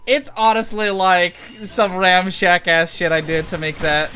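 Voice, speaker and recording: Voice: mid-range voice, fairly even intonation, unhurried, sharp tone, slight background noise. A game streamer giving casual, rambling, foul-mouthed live commentary over gameplay.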